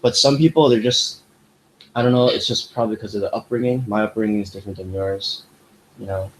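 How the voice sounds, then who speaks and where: Animated young man with low voice. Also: fairly quick, background noise. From United States. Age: young adult. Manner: animated, rambling, many fillers. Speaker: podcast host. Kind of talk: casual chat.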